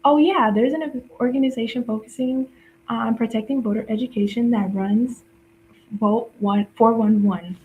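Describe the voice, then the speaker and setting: A woman hosts a youth segment, reading scripted links and introductions with slight stumbles. Her voice sits on the higher side. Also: steady tone, normal speed, darkish tone, muffled recording, slight background noise.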